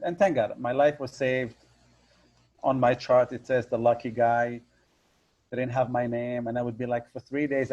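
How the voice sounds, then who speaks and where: A man with a loud voice tells a personal story in a relaxed interview, his pitch sitting in the middle of the male range. He acts out the voices of the people in the story. The recording sounds dull and lo-fi. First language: Hebrew. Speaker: hypnotherapist guest.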